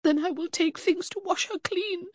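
A woman's voice: very high-pitched, moderate pace, dry recording, clean studio sound, voice pushed up. A woman voicing a furious, grieving middle-aged mother in an audiobook, haughty, demanding and indignant. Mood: stubborn, desperate. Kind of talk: audiobook character acting.

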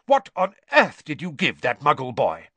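Man acting an outraged wizard dad, high and roaring. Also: wildly swooping pitch, moderate pace, slightly rough, no echo, full character voice. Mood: furious. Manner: shouting, roaring, indignant. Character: irate middle-aged wizard father scolding his sons.

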